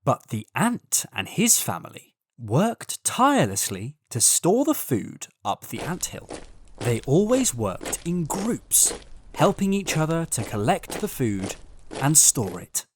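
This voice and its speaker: A storyteller narrating a scripted children's tale in an expressive, deliberate way. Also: mid-range voice, slight background noise.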